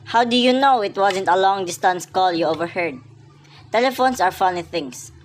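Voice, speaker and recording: An actress plays an unimpressed city police officer on desk duty in a radio play. The voice is mid-pitched, patronizing and wearily placating, over faint noise. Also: female voice, moderate pace, lightly acted. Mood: skeptical, weary. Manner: dismissive, placating, weary.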